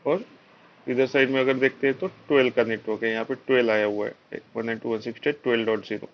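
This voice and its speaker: A man's voice: mid-range voice, steady tone, quick pace. A man with an Indian accent giving a live technical lecture as an instructor, explaining step by step with frequent check-in tags and mixing in English technical terms. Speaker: networking instructor. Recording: dull, lo-fi online class audio.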